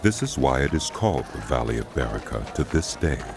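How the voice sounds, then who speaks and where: A man narrating scripture as a scripted drama, telling the story in a solemn, measured way. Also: very deep voice, sing-song, noisy background.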